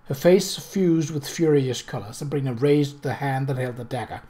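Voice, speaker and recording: A man reading fiction aloud as a narrator, in a deliberate, dramatic manner. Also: mid-range voice, warm tone. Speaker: audiobook reader.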